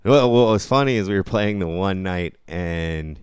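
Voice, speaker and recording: Low, smooth-voiced man bantering casually. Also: animated, normal speed, no echo, clean studio sound. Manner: profane, stop-start, trailing asides. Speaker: podcast co-host. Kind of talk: casual banter about gaming.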